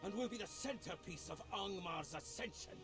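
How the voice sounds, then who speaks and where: A man's voice: high-pitched, animated, moderate pace, music in the background. A man voicing a haughty, possessive villain in a fantasy game with an imperious, declaiming manner.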